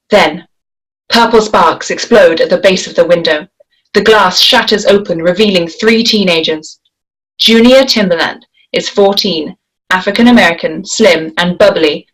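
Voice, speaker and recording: A woman's voice: medium pitch, fairly bright tone, low-quality recording. A woman reading scripted stage directions as the narrator of a screenplay.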